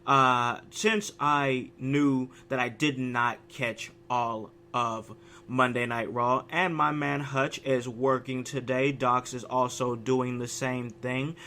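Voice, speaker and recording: A man hosting a casual podcast chat, sounding raspy from a cold, talking with an animated, hyped-up energy and frequent restarts. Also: medium pitch, takes it slowly, a little hiss or hum. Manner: animated, hype delivery with many restarts. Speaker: podcast host.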